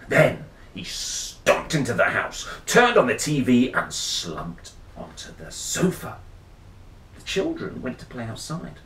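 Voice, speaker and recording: A man's voice: lowish voice, a bit bright. A man reading a children's story aloud in a playful, theatrical way, with casual musing asides. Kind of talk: expressive picture-book read-aloud with casual asides. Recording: roomy echo, faint noise.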